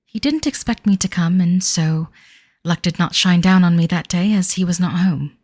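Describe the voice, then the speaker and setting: Actress as 1930s fiancée in audio drama: lowish voice, formal, wistful diary narration, devoted yet uneasy, close dry sound. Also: female voice, a little mellow, no room sound, natural voice. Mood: fond. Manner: formal, wistful, measured period diction. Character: young bride-to-be in 1930s, devoted but uneasy.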